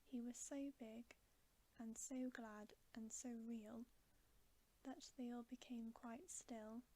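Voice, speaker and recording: A woman softly whispering an ASMR bedtime reading of a children's novel, breathy, gentle and soothing. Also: on the high side, fairly even intonation, slow. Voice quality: soft, breathy, mostly whispered. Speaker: ASMR storyteller.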